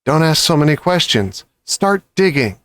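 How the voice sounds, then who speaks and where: A man voicing a clever, cheerful, commanding father fox in a children's storybook, excited and pleased with himself. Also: fairly high pitch, moderate pace, dry recording. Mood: pleased, brisk. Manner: excited, bossy, self-satisfied.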